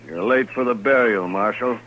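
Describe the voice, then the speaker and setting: An actor playing a hard, vengeful American rancher in an old radio western, speaking curtly and challengingly. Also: male voice, on the low side, normal speed, phone-quality sound, natural voice. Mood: cold, suspicious. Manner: curt, challenging. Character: hard, defiant, vengeful rancher who lost his brother. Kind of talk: 1950s radio western acting. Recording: noisy old radio recording.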